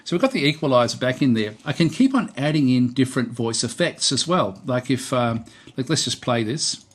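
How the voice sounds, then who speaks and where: Man, medium pitch, casual tutorial presenter, unscripted, hesitant, thinking aloud. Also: lively intonation, brisk, husky.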